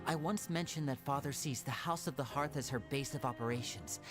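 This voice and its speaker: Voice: mid-range voice, a little fast, natural voice. An actor voicing a composed, clever young man in an anime game, articulate and analytical, protective of his own. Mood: thoughtful. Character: composed, clever young male stage magician, protective brother. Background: game music.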